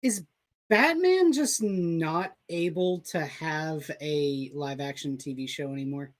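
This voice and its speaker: A co-host on a live comics stream, calmly explaining things to the people watching. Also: medium pitch, slow pace. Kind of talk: casual live-stream chat.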